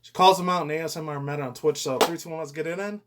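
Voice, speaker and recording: A young man with a slightly high voice delivers casual news commentary. He rambles from point to point in loose slang, with plenty of profanity. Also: steady tone, racing, background noise. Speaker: commentary vlogger.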